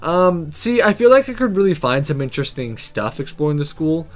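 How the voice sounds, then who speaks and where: A gaming commentator reading a visual novel aloud and breaking off to react to the story in a casual, animated way. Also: medium pitch, a little fast.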